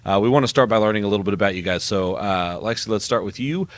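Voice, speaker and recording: Fast-talking male podcast host. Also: on the low side. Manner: friendly, quick questions with filler uhs. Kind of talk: upbeat campus podcast interview.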